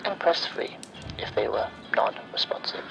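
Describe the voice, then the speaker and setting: A man playing a sinister automated phone survey voice in a horror ASMR roleplay, breathy and often near-whispered, speaking in measured, formulaic announcements. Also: over music, close to an everyday voice, rough recording. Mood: eerily polite.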